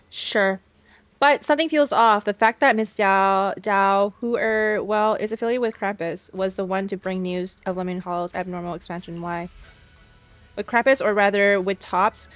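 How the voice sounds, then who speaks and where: Female game reactor: mid-pitched, casual, sarcastic and blunt, faint game music behind her. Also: a bit bright, no echo. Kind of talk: casual reaction commentary, reading dialogue aloud.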